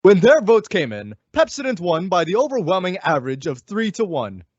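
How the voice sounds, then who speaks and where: Animated male old-time radio announcer. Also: high voice, moderate pace, dry recording. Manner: emphatic, polished sales delivery. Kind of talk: 1940s radio ad and credits, scripted. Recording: dull lo-fi audio.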